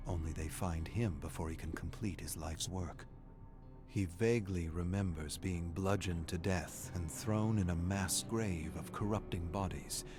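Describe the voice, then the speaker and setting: A man performing a brooding, ominous narrator of horror lore, reading gravely and deliberately in a dramatic style. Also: sits low, fairly even intonation, over music. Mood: grim, mysterious.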